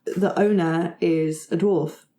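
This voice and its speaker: A woman's voice: on the low side, fairly even intonation, slow pace, dark tone. A woman running a tabletop fantasy game, narrating off the cuff in a playful, conversational way. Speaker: game master. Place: studio. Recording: roomy, echoey studio.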